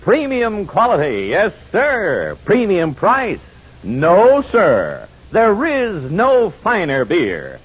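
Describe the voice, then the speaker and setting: A man delivering slogans as a pitchman in a 1940s radio commercial. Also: huge pitch swings, very slow, slight background noise. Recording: muffled vintage radio recording.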